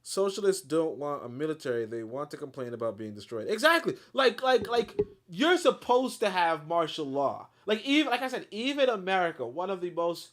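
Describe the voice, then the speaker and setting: Casting note: man on the higher side, reads a book aloud and then breaks into heated, blunt asides full of fillers and swearing. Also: moderate pace. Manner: animated, blunt asides with profanity and many fillers. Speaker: host reading a book aloud with commentary. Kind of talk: book reading with heated live commentary.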